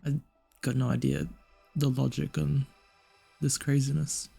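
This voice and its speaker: A man's voice: mid-range voice, level tone, a little slow, creaky, mellow, slightly rough. A man giving casual, puzzled, hesitant gameplay commentary, trailing off and repeating himself. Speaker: gaming video narrator. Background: game music.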